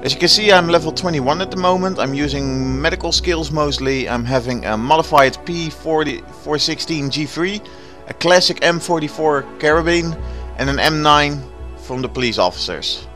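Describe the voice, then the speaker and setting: A Dutch man with a Dutch accent, a native Dutch speaker, giving relaxed, chatty commentary over gameplay and sometimes searching for words. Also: fairly high pitch, moderate pace, few pauses, faint music behind. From Netherlands. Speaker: gaming channel host.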